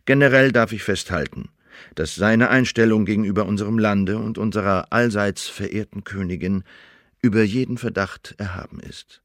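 A dutiful secret service bureaucrat, acted by a man, with a fairly low voice. He reads a written assessment in a measured, pompous, formal radio drama manner. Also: fairly bright tone, dry recording, studio quality, natural voice. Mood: formal, self-important.

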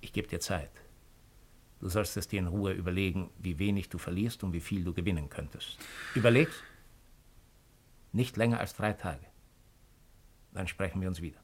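An actor playing a cold, calculating Roman imperial agent in a radio drama, speaking in a measured, insinuating way. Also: male voice, sits low, a little hiss or hum. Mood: insinuating, calm. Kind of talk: 1950s German radio drama acting.

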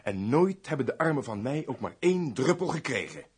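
An actor playing a wordy, servile older steward of a noble house in a radio play, with a husky voice. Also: male voice, medium pitch, very animated, a little fast. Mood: aggrieved, boastful. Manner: wordy, long-winded, obsequious.

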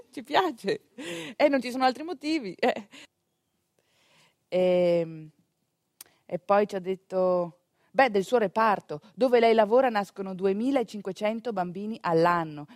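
A woman delivering a theatrical monologue in a conversational way, with pointed pauses and rhetorical asides. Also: medium pitch, no echo, studio quality. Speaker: stage actress narrating a monologue.

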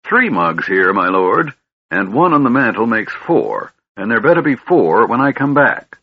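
A man's voice: medium pitch, sing-song, measured pace, husky, voice pushed up, heavily acted. A man voicing a grumpy, protective household retainer with a curt, disapproving manner in an audiobook. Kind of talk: audiobook character voice. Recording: dull, slightly lo-fi recording.

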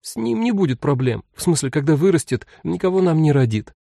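A man's voice: on the high side, big pitch swings, brisk, gravelly, dark tone, dry recording, studio quality, voice pushed up, exaggerated voice. A man voicing an earnest, timid twelve-year-old girl who rambles and pleads in an audiobook story. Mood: hopeful.

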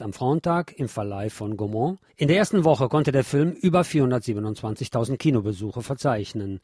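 A man's voice: mid-range voice, darkish tone, no room sound, studio quality. A man hosting a radio show, reading a film review from a script with chatty asides to his listeners.

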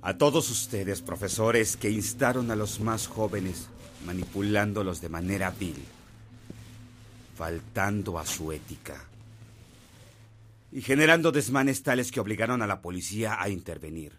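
An actor playing a stern, indignant bishop in a radio drama, speaking in a formal, solemn, condemning manner. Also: male voice, fairly low pitch, measured pace, a bit bright, slight background noise. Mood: stern indignation. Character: stern, indignant bishop reprimanding seminary staff.

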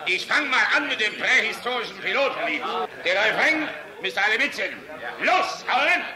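A man's voice: high voice, big pitch swings, moderate pace. An actor playing a jovial, outspoken, fatherly air force general in a German radio drama, hearty, sardonic and commanding. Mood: boisterous, tipsy.

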